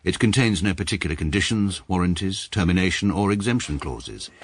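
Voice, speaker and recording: An English actor playing a stiff, pedantic middle-aged male barrister who narrates in a dry, clipped, deadpan manner for a radio comedy drama. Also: low voice, brisk, slight background noise.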